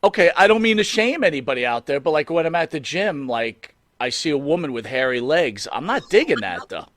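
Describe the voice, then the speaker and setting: A man's voice: a little high, big pitch swings, brisk, a bit bright, dry recording, studio quality. A man hosting an interview, asking joking, probing questions with hesitant fillers. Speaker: podcast host. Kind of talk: casual interview chat.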